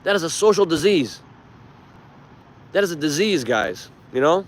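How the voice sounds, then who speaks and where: A man's voice: on the high side, sing-song, moderate pace, a little hiss or hum. A man from Iran, a native Persian speaker, hosting a casual livestream and giving emphatic, informal political commentary with some swearing. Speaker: live stream host.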